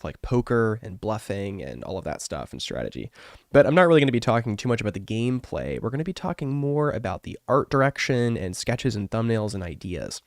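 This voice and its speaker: Man with a cold: congested, rough voice, lowish pitch, dry sound, rambling casual stream host. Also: no echo. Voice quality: rough, wrecked voice. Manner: rambling and chatty, with many fillers. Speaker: artist and streamer. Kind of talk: casual live-stream chat.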